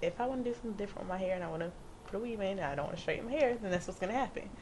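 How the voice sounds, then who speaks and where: A woman's voice: medium pitch, animated, racing, a little mellow. A woman about twenty years old sharing opinions as a guest in a casual chat. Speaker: panel guest. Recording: faint background noise, room ambience.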